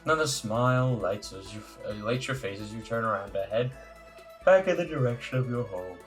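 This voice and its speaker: A man's voice: medium pitch, animated, brisk, soft background music. A man narrating a visual novel aloud in a casual let's play style, now and then stumbling and correcting himself. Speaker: let's play host and reader.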